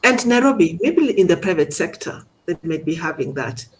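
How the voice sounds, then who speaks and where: A woman's voice: lowish voice, sing-song, moderate pace. A middle-aged woman, teaching radiology in an informal seminar and explaining things step by step with questions along the way. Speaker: radiology instructor.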